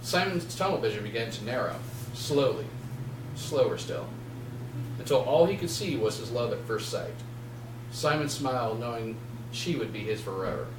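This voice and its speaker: A man reading his own prose aloud as an author at a live reading, in deliberate short phrases. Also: medium pitch, expressive. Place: indoor bookshop reading event. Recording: roomy, distant mic, faint noise and hum.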